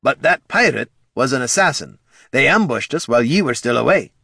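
Male narrator as earnest dwarf adventurer, gentle, animated, dry close sound, fantasy audiobook. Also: medium pitch, fairly quick, gravelly, slightly rough, no echo, voice pushed up, exaggerated voice. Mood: gentle, explaining. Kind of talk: fantasy audiobook character dialogue.

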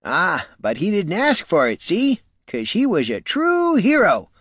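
A man voicing a gnarled, aggrieved old farmer with a high, strained rustic voice in an audiobook. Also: huge pitch swings, a little slow, fairly bright tone, slightly rough, dry recording, clean studio sound, full character voice. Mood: wheedling. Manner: pleading, indignant outbursts. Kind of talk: audiobook character voice.

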